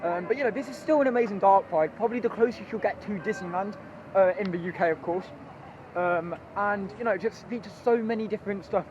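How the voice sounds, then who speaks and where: A hoarse young man, chatty and rambling as he walks through a theme park with his phone. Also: sits high, brisk, dull audio, faint music behind. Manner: chatty, rambling, excitable, many ums. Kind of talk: walking vlog commentary.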